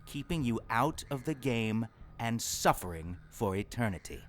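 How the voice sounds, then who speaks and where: A man's voice: mid-range voice, expressive, normal speed, close to an everyday voice. A man playing a pompous, menacing demon villain in an audio drama, gloating in a theatrical way. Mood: smug menace. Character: gloating male demon villain posing as human lover.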